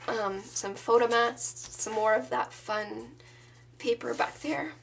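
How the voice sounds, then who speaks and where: A woman in her thirties with a sore throat, casually showing off her handmade scrapbook album in a relaxed, chatty, enthusiastic way. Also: fairly high pitch, takes it slowly. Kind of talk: casual show-and-tell.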